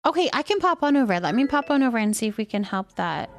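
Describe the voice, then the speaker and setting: A woman's voice: a little high, sing-song, quick pace, soft background music. A woman hosting a livestream, chatting casually and thinking aloud as she plays a building game. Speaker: livestream gaming host. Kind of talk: casual chat while playing a building game.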